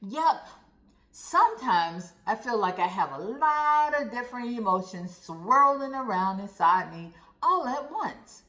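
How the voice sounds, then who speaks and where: A woman voicing a friendly, candid schoolboy in a children's storybook, explaining himself earnestly and deliberately. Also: a little high, big pitch swings, sharp tone, exaggerated voice. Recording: roomy, echoey sound.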